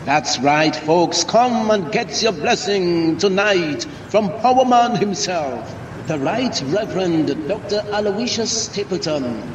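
Man playing a showy, echoing loudspeaker announcer. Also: sits high, animated. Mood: showy, hyping. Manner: slow, showy, barker-like. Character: loud street announcer hyping a visiting faith healer. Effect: car loudspeaker. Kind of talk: loudspeaker street announcement in a drama. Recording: dull, noisy, echoing distant loudspeaker.

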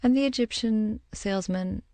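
A woman who grew up in the Middle East, giving a reflective, measured personal account in an interview and pausing to find her words. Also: mid-range voice, warm tone, no echo.

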